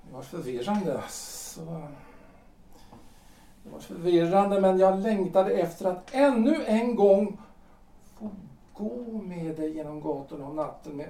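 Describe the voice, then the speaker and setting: An actor playing an anguished, brooding husband in his forties in a period audio drama, halting and repeating his phrases with theatrical emotion. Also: high-pitched, lively intonation, dark tone, a little hiss or hum. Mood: insistent, yearning. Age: 40s. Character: anguished middle-aged husband, brooding, insistent, self-doubting. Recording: roomy, distant from microphone.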